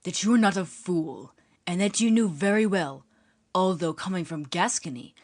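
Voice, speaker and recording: A woman reader voicing a young musketeer who means to become a churchman. He speaks in a low, measured, polished way, with dry irony under his courtesy. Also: expressive, darkish tone. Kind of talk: audiobook character reading.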